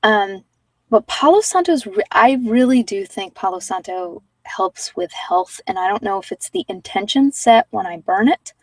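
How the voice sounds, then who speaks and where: Female ASMR meditation host, casual and rambling, soft-spoken with near-whispered moments, dry sound, slightly off mic. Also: mid-range voice, expressive, moderate pace, no echo, recorded from a distance, low-quality recording. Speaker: host of guided meditation sessions.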